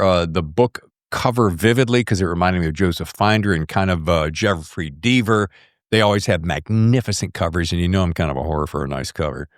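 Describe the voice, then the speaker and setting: A man's voice: sits low, dry recording, clean studio sound. A man hosting a podcast, chatting casually in a chummy, effusive, emphatic way with plenty of slang. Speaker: podcast host. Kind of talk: casual interview chat, addressing guest and listeners.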